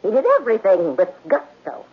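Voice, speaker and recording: A feisty, rich old aunt in her eighties in a vintage radio drama. Her voice is husky and very animated as she scolds and lectures. The broadcast sounds dull and lo-fi. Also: mid-range voice, brisk. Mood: gushing. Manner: opinionated, scolding, theatrical. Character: feisty, domineering 81-year-old wealthy aunt. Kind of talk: 1970s radio drama acting.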